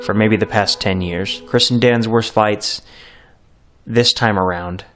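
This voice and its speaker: A man in his late twenties speaking earnestly and nostalgically, as an audio drama creator looking back in an interview. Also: lowish voice. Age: late 20s. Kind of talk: reflective documentary interview. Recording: music playing behind.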